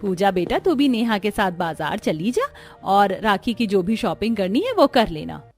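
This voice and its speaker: A woman's voice: medium pitch, sing-song, moderate pace. A woman playing a caring, practical mother-in-law in a cartoon family story, motherly and instructing. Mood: kindly, instructing. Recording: music bed behind the voice.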